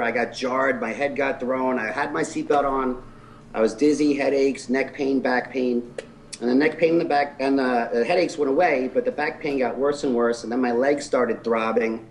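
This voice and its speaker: A man in his forties at a middle pitch tells his story openly, laughing at himself now and then. A faint noise sits behind his voice. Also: moderate pace. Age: 40s. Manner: earnest and candid, with self-deprecating humor. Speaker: physique competitor guest. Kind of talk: telling his life story in an interview.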